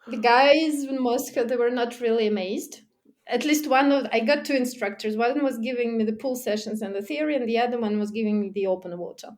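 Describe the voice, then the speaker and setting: High-voiced woman telling diving stories. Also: a little fast. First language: not German. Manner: lively, laughs often, acts out her own past remarks; restarts and fillers like "like". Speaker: guest, technical diver and underwater photographer. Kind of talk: casual interview storytelling.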